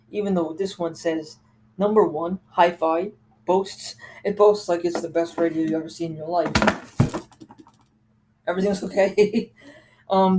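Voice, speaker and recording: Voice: mid-range voice, mellow, a little hiss or hum, rough recording. A host casually showing and reviewing items from a collection, talking informally and with enthusiasm. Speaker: host of a gadget collection video. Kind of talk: casual show-and-tell product review.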